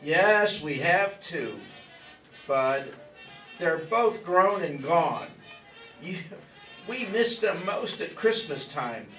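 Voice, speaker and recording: A man's voice: on the high side, expressive, extremely slow, long pauses, bright, forceful tone, exaggerated voice. A man playing a kindly, folksy older farmer with grown children in an old-time radio drama. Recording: roomy, distant mic, faint music behind.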